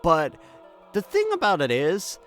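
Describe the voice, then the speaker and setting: A man's voice: high-pitched, huge pitch swings, a little slow, fairly bright tone. A man giving casual, chatty let's play commentary in an informal, amused way as host of a video game series. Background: video game music.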